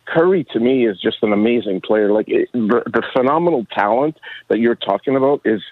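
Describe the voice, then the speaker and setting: A man in his sixties phones in to a radio show. He rambles in a chummy, halting, casual way at an ordinary pitch. The line is slightly dull and dry, with little room sound. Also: lively intonation, dry recording. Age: 60s. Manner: halting, chummy, says "bro" often. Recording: dull band-limited call-in line.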